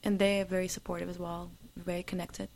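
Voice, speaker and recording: A young woman with a Russian accent, a singer and interview guest, answering in an earnest, halting way. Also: fairly low pitch, level tone, darkish tone. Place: Los Angeles area.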